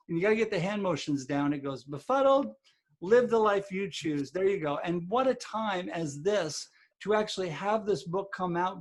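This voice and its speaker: A man hosting a podcast, upbeat and promotional as he introduces his guests. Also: high-pitched. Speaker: podcast host.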